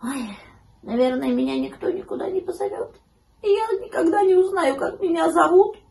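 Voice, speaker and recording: A small, trusting boy puppy from a children's storybook, played by a woman. The voice is high and very lively, with childlike exclamations and a plaintive, naive air. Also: a little slow, heavily acted. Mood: sad, tearful. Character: naive, sad-hearted little male puppy, eager and trusting.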